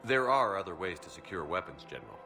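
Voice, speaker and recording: An actor playing a composed, commanding military commander in a science fiction game, measured and direct. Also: male voice, sits low, sing-song, bright, forceful tone, soft background music, exaggerated voice. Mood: calm, direct. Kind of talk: video game acting.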